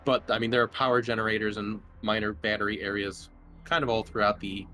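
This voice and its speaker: A man running a tabletop game, improvising narration casually with halting explanations. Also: lowish voice, level tone, pushed and bright, soft background music. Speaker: game master.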